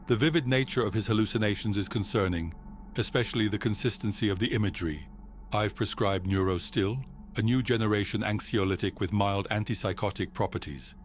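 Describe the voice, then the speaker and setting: An actor playing a measured, formal psychiatrist on a space expedition in an audio drama. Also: male voice, fairly low pitch, natural voice. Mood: clinical, grave. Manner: calm, formal, clinical. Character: measured, clinical male psychiatrist on a Mars expedition. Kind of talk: audio drama log and session dialogue.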